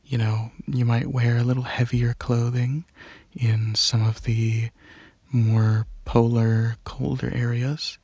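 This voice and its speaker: A man playing a shy, gentle alien dragon in an ASMR roleplay, speaking softly and haltingly in a breathy, earnest way. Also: mid-range voice, flat delivery, dry recording, lo-fi sound. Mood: reflective. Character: shy, gentle alien dragon host, curious about Earth. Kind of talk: ASMR roleplay, soft rambling monologue.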